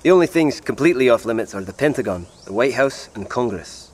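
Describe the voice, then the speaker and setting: An actor playing an easygoing male guard in an audio drama, helpful to newcomers. He talks quickly in a middle-pitched voice. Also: lively intonation, natural voice. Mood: helpful, informative.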